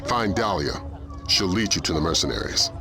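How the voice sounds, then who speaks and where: An actor playing a brisk, matter-of-fact mission handler in a video game cutscene. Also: male voice, deep voice, lively intonation, a crowd in the background. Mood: brisk, wry.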